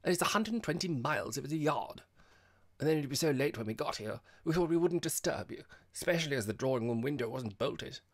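A man voicing a boisterous, slangy young woman who teases loudly in an audiobook comic novel. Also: mid-range voice, expressive, fast talker, voice pushed up. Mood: breezy. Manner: loud exclaiming, slangy.

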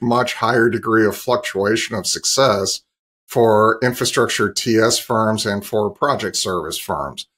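A middle-aged man with a fairly low voice speaks as an interview guest on a video call. He explains business matters like an industry analyst, indoors in a home office. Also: fairly bright tone.

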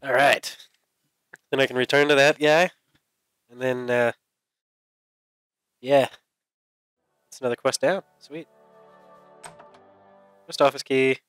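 A man's voice: medium pitch, fairly even intonation, slow, pauses often, fairly bright tone, no echo. A man hosting a gaming video with casual, jokey commentary, muttering to himself and exclaiming. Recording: game music behind the voice. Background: video game music.

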